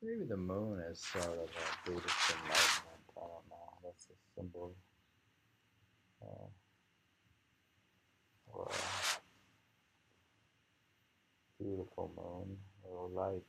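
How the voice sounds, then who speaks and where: A man reading poems aloud in a slow, halting, musing way, repeating lines and adding informal, rambling commentary, on a dull lo-fi recording. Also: low-pitched, lively intonation, creaky, noisy background. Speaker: reader and commentator of poetry.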